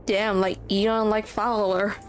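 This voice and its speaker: A woman's voice: medium pitch, level tone, a little slow. A woman giving casual, reactive commentary as she streams a game playthrough. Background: game music.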